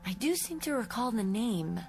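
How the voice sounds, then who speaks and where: An actress playing a polite, troubled schoolteacher, speaking in a halting, guarded way in a mid-century TV drama. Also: female voice, on the high side, animated, a little mellow. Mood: troubled, hesitant. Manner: hesitant, halting, courteous. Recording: vintage TV soundtrack with music behind.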